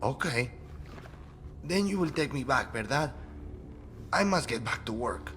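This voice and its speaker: An actor playing an eerie, simple-minded cartel body-disposer, halting and soft-spoken, in a game. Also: male voice, medium pitch, animated, music in the background, natural voice. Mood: meek, pleading.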